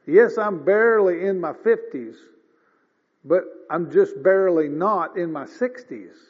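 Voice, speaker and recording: A man in his fifties preaching a sermon in a folksy, conversational way, often clearing his throat. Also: sits high, unhurried. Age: 50s. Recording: dull lo-fi sound with long reverb.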